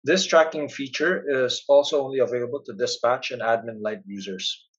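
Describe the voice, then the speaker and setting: A man presents a step-by-step software walkthrough in a plain, instructional way. His voice is a little husky and sits at a medium pitch. The recording is somewhat dull and muffled. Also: moderate pace. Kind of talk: step-by-step screencast narration.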